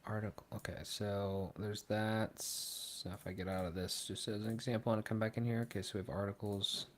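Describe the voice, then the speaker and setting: A young man from Pittsburgh hosting a casual livestream, thinking aloud and trailing off into low mumbles. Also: little pitch movement, brisk. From Pittsburgh, Pennsylvania. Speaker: livestream host. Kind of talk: casual live co-stream chat. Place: small room at a desk.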